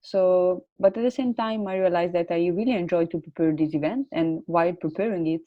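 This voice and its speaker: Panelist, woman, Italian accent, low voice, halting, thoughtful; lo-fi dry call audio. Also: dry recording. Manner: thoughtful, searching for words, frequent uh. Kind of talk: reflective panel talk on a video call. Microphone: video call.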